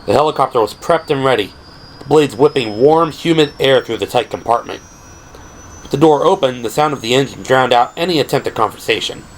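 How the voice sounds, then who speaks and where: A man's voice: medium pitch, lively intonation, fairly quick, a little hiss or hum, poor audio. A man narrating an audio drama from a script in a dramatic, storytelling style. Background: music.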